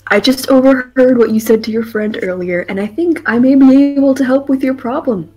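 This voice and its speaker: A woman's voice: high voice, a little fast, warm tone, faint music behind. A woman voicing a young retired knight, a smiling, knowing man with a soothing manner, in a visual novel. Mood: reassuring.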